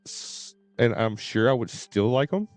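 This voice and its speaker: A man's voice: mid-range voice, animated, unhurried, dry recording, soft background music. A man streaming games and chatting casually in a hesitant, rambling way. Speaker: game streamer. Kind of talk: casual livestream chat.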